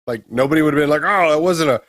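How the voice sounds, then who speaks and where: A man's voice: on the high side, racing, background noise. A man co-hosting a gaming news show, chatting casually with blunt, sarcastic opinions. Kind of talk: casual chat and opinionated commentary.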